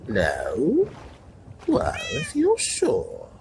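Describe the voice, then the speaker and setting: A man's voice: high voice, huge pitch swings, drawn-out words, creaky, a little mellow, slight background noise, pitched above the performer's own voice, heavily acted. A man voicing a musing old talking hat.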